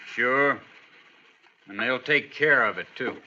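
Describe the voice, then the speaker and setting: Sharp, sneering western outlaw. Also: male voice, mid-range voice, slow, heavily acted. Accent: American. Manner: taunting, threatening. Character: menacing, defiant, sneering outlaw prisoner. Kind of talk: 1950s radio western acting. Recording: dull, noisy, clicking 1950s radio recording.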